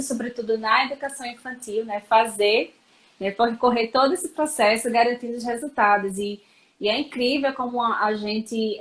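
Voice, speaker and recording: A Brazilian woman educator explaining school methods in a live-stream chat, with many fillers. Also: on the high side. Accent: Brazilian Portuguese.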